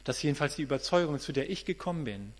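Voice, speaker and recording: A man's voice: a little high, lively intonation, very fast. A man in his late fifties giving a conversational, earnest sermon, often restarting and repeating words as he thinks aloud. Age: 50s. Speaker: preacher. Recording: reverberant hall with mains hum.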